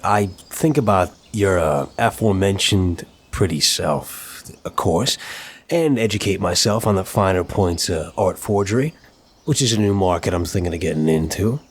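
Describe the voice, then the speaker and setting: Guy doing a soft-hearted mob boss for asmr roleplay, low slightly husky voice, flirty and polite, kinda halting. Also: animated. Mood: flirtatious, relaxed. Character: apologetic, smitten, flirtatious male mafia boss. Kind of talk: intimate ASMR roleplay audio drama.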